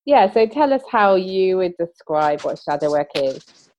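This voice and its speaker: A woman with a lowish voice hosts a podcast in a loose, chatty way. She stops and restarts often and fills gaps with ums. The sound is dull and lo-fi, like an online call. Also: animated, measured pace, a little mellow, slight background noise. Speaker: podcast host. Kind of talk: casual conversational interviewing. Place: London, UK.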